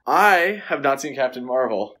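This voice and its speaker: Male podcast co-host, medium pitch, informal film chat with a stop-and-start conversational flow. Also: expressive, moderate pace, pushed and bright. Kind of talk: casual film discussion.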